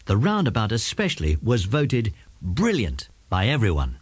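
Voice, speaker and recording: A man's voice: fairly low pitch, huge pitch swings, moderate pace, slightly rough, no room sound. A man with an English accent reading scripted narration for a children's story as its narrator.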